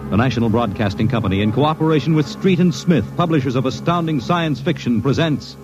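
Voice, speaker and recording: An American man announcing a scripted 1950s radio show in a dramatic, measured, portentous manner. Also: mid-range voice, expressive. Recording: dull 1950s radio recording, loud music.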